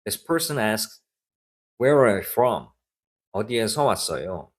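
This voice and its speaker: A young man whose first language is Korean, giving a clear, scripted online lesson in English with Korean example sentences. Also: on the low side, sing-song, quick pace.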